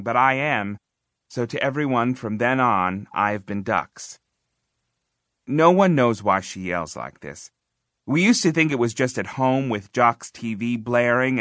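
A man reading a first-person children's novel as an audiobook narrator, expressive, confiding and conversational, with emphatic phrasing. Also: medium pitch, no room sound, clean studio sound.

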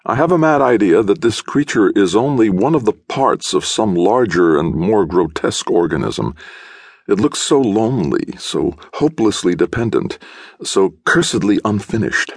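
A man voicing a brash, reckless young male artist who mocks his friend, in a raised, excitable voice. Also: fairly low pitch, moderate pace, vocal fry, slightly rough, no room sound, studio quality, pitched above the performer's own voice, full character voice. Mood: mocking, excited.

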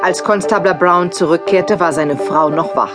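An actress narrating a suspenseful horror tale in an ominous scripted storytelling manner. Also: female voice, on the low side, normal speed, natural voice. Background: music.

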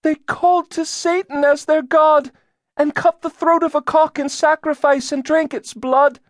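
Male narrator as frightened girl of sixteen, very high pitch, trance-like, fearful declaiming, storybook. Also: level tone, unhurried, no echo, studio quality. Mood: entranced, fearful. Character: frightened sixteen-year-old Puritan girl, entranced witness.

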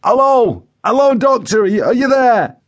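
A man voicing a distressed, bluntly coarse male patient in a comic audiobook, groaning and pleading loudly. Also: high voice, big pitch swings, normal speed, voice pushed up, exaggerated voice. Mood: anxious. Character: distressed, embarrassingly frank working-class patient on the phone.

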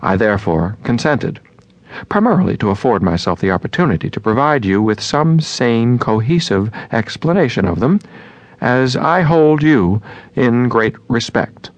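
An actor playing a moody, romantic man voicing his letters in an audio drama, measured and earnest, with a gravelly, husky voice. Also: medium pitch, very animated, no echo, close to an everyday voice, rough recording. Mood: formal, composed.

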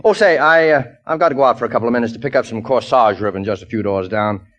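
An actor playing a kindly, brisk shop boss in a vintage radio comedy, courteous and encouraging. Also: male voice, medium pitch, a bit bright, close to an everyday voice. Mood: friendly, businesslike. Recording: dull vintage radio broadcast.

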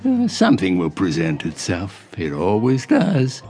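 Animated, deep-voiced old gentleman. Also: measured pace, heavily acted. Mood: wistful, gentle. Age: older. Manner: folksy, old-fashioned, wistful. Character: genial, courtly, idle old gentleman regular. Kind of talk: audio drama acting. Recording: faint music behind.

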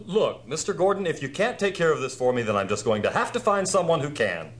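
A man's voice: a little high, very animated, fast, faint music behind. A man playing a harried, sarcastic theme park manager in an audio drama, talking with exasperated emphasis. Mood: irritated.

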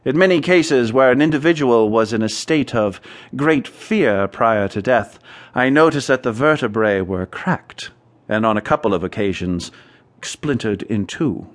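A man's voice: mid-range voice, lively intonation, measured pace, close to an everyday voice. Man acting an obsessed, erudite scientist. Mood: measured, assured. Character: obsessed, distraught male scientist studying fear. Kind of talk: radio drama acting.

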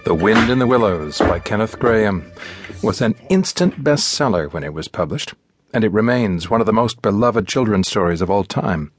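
A man's voice: on the low side, animated, moderate pace. A man with an American accent hosting a radio program, reading scripted narration. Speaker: radio show host. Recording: music bed behind the voice. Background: instrumental music.

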